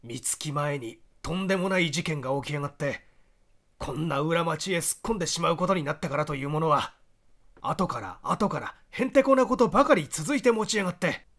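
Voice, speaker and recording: A man's voice: on the high side, lively intonation, a little fast, fairly bright tone. A man voicing a troubled, earnest young diner owner in audiobook dialogue, explaining himself in a conversational way.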